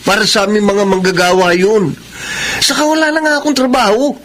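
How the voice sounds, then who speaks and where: An actor in a Filipino radio drama playing a stubborn, self-justifying dockworker husband with an insistent, dramatic delivery. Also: male voice, high voice, fairly even intonation, brisk, fairly bright tone.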